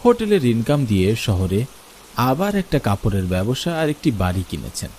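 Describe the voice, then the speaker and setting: A man's voice: medium pitch, very animated, moderate pace, darkish tone, slight background noise. A man narrating a scripted horror story for a cartoon.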